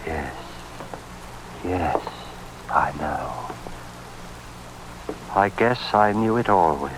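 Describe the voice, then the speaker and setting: A man playing a grave, courteous middle-aged police inspector in a vintage radio drama, speaking deliberately. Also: a bass voice, animated, heavily acted. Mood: troubled. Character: haunted, courteous Scotland Yard inspector with lost past. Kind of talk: 1940s radio drama acting. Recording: noisy old radio recording.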